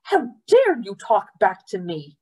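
A woman's voice: mid-range voice, sing-song, very slow, slightly rough, full character voice. A woman voicing a stern, impatient mother in a storybook, scolding in a snappish manner. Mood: angry scolding. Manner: sharp, scolding.

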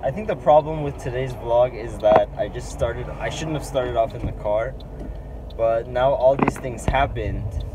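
A man's voice: medium pitch, measured pace, very noisy. A young man talking casually to the camera in a rambling, slangy vlog style, saying "like" often. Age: young adult. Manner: rambling, loose and slangy, with frequent "like". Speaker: vlogger. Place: parked car, later small store fitting room. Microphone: camera or phone at arm's length.

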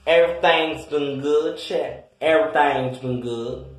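A man's voice: a little high, unhurried, natural voice. A man voicing a scolding, foul-mouthed old Southern grandmother in an improvised comedy skit. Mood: testy. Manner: blunt, scolding, calls everyone child. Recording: very echoey room.

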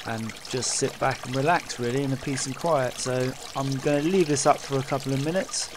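A man's voice: medium pitch, fairly quick, noisy background. A man narrating his own fishing video in a casual, informal voice-over.

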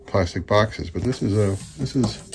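A man's voice: on the low side, normal speed, creaky, slight background noise. A man hosting an audio gear vlog, talking in a casual, informal way. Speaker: host of an audio repair vlog. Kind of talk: casual chat and informal video narration.

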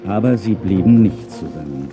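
A man's voice: low-pitched, lively intonation, normal speed, dull audio. A man narrating a children's audio drama in a dramatic storytelling manner. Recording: music behind the voice. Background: music.

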